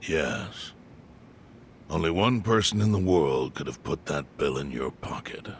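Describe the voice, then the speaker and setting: An actor playing a calm, knowing male informant who explains things in a measured way. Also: very deep voice, a little hiss or hum, full character voice. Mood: grave.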